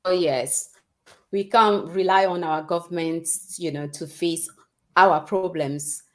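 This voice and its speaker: A woman's voice: on the low side, slow. A woman hosting a livestream interview, speaking in an enthusiastic, encouraging way. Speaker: livestream host.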